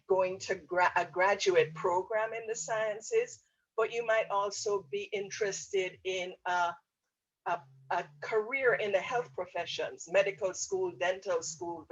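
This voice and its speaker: This is a woman with a Jamaican accent and a husky edge to her voice. She speaks as a university professor explaining options to students on an online panel. Her phrasing comes in hesitant pieces, and the audio is somewhat dull and muffled. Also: medium pitch. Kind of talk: explanatory advice to students in a webinar.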